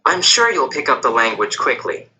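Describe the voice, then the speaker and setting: A man's voice: medium pitch, very animated, moderate pace, sharp tone, slight background noise, poor audio. A man voicing a friendly, confident businessman in a scripted textbook dialogue.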